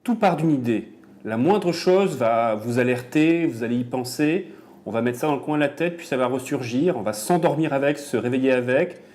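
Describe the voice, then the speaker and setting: Man, documentary interviewee; warm low voice, hesitant explanatory delivery. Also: lively intonation, moderate pace. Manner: explanatory, many hesitations with euh. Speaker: animation professional, scriptwriter or storyboard artist.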